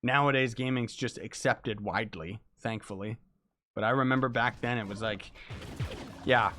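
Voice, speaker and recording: A young man chatting casually with viewers while he plays a game, hoarse and losing his voice from being sick, talking in an excitable, laid-back way. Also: medium pitch, normal speed, a little hiss or hum. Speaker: game streamer. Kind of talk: casual livestream chat while gaming.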